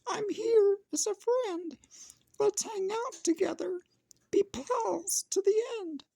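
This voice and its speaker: A woman voicing a tiny, timid male mouse in a storybook, with a squeaky, breathy, high voice. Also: slow pace, warm tone, no room sound, heavily acted. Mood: friendly. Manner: nervous, whispery pleading. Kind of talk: storybook reading.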